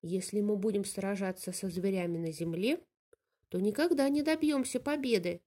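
A woman's voice: medium pitch, normal speed, dark tone, natural voice. A woman voicing a shrewd female bird in a fairy tale, making an assertive case to the flock. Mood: resolute. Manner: assertive, reasoning speech to a gathering. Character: shrewd female bird urging fellow birds on.

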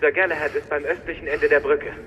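An actress playing a dutiful military dispatcher with a formal, clipped reporting manner in an audio drama. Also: female voice, low voice, steady tone, quick pace, dull audio, very noisy, lightly acted. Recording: loud music and gunfire behind.

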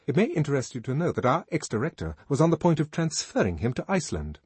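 A man doing a brisk, smug young man. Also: fairly high pitch, sing-song, a little mellow, breathy edge, dry recording, voice pushed up, heavily acted.

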